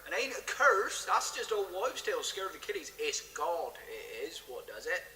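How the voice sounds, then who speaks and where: An actor playing an indignant, Bible-quoting church caretaker with broad speech, preachy and blunt. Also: male voice, high-pitched, lively intonation, normal speed, sharp tone, exaggerated voice. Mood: indignant, fervent. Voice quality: broad non-standard rustic speech. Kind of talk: audio drama acting. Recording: echoey hall, long reverb, faint noise.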